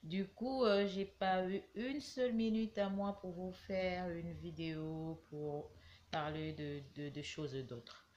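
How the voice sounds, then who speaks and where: A woman vlogger with a cold, talking casually to camera and giving her view with slow, hesitant, reflective phrasing. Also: on the low side, fairly even intonation, darkish tone, highs cut off. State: has a cold.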